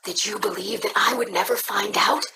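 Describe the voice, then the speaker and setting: An actress playing an earnest, curious young alien scholar in a video game. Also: female voice, medium pitch, steady tone, moderate pace, sharp tone, slight background noise, lightly acted. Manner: earnest, eager.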